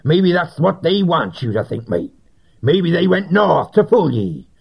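A man voicing a boisterous, villainous animal pirate captain in a storybook, with a raspy, gravelly voice and blustering pirate slang. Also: on the high side, sing-song, a little slow, lo-fi sound, pitched above the performer's own voice, exaggerated voice. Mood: blustering, cunning.

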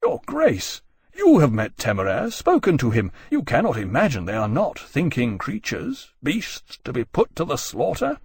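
Man as an earnest, husky, appalled captain. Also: mid-range voice, huge pitch swings, moderate pace, darkish tone, dry recording, heavily acted. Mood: appalled pleading. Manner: formal, strained pleading. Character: earnest, appalled male captain, restrained and honourable.

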